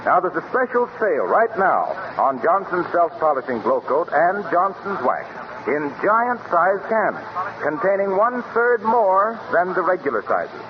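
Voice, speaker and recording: A man announcing a 1930s radio show with a polished, emphatic salesman's delivery. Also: on the high side. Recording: noisy, muffled 1930s radio recording.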